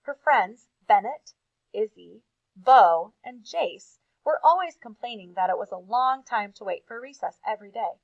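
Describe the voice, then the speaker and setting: A woman reading aloud to children as a teacher, with expressive emphasis and casual asides. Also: fairly high pitch, moderate pace, no room sound, dull audio.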